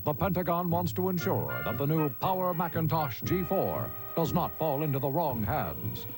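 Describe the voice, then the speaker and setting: Lively male commercial narrator over music. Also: a little high, moderate pace. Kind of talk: scripted tongue-in-cheek advertising narration.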